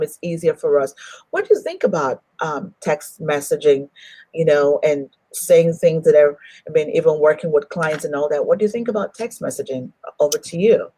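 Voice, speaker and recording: A woman's voice: fairly low pitch, mellow, dry recording, poor audio. A woman hosting a live online talk show, greeting viewers by name and speaking effusively. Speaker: host.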